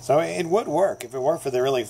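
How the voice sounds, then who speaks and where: A man's voice: on the high side, quick pace, vocal fry. A man casually showing and explaining an old broken tape deck at home, rambling with lots of fillers. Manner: rambling, easygoing, many fillers. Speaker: vintage tape deck repair vlogger. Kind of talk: casual show-and-tell narration. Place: sunny room at home, at a desk.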